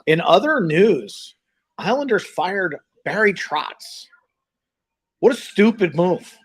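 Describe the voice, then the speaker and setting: A man's voice: sits high, big pitch swings, takes it slowly, husky. A man hosts a live hockey stream and calls the game. He talks in an informal, chatty way, a bit scattered as he juggles tasks while welcoming viewers. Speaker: live stream host calling hockey play by play. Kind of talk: casual live sports stream chat, greeting viewers.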